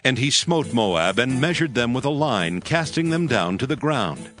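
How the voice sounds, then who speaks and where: A man narrating a dramatized scripture reading in a measured, formal storytelling manner. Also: medium pitch, big pitch swings, fairly bright tone, soft background music. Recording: faint musical score behind.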